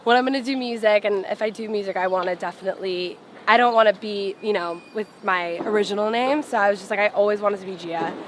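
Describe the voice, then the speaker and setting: A woman's voice: medium pitch, fast talker, hardly any pauses. A young woman, a singer born and raised in Los Angeles, chatting casually in an interview with lots of "like" fillers. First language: Farsi. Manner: chatty, full of "like" fillers, laughs often. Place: outdoors on a sidewalk. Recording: street noise behind.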